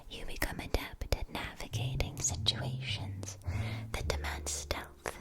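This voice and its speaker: A woman softly whispering a scripted ASMR roleplay as a shopkeeper, breathy and deliberate, with careful enunciation. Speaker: ASMR roleplayer as a witch school supply shopkeeper.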